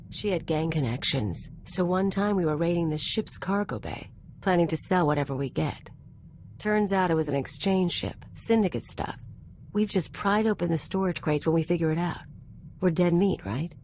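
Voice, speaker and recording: Actress as a brash, low-voiced outlaw woman. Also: moderate pace, darkish tone, slight background noise, natural voice. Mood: wry, reminiscing. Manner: casual, clipped, cocky. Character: brash, streetwise female outlaw gunslinger companion. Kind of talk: conversational video game acting.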